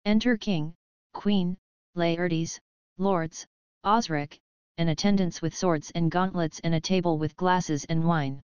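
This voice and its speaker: A woman's voice: lowish voice, takes it slowly, smooth, no room sound, muffled recording. A woman reading stage directions as the scripted narrator of an audioplay.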